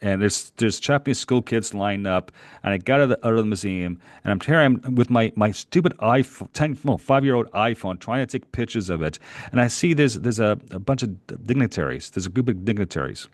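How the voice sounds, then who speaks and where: Deep-voiced man telling rambling stories. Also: fairly quick, no room sound, clean studio sound. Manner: rambling animated storyteller, chuckles often. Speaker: podcast co-host. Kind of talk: casual chat, travel storytelling.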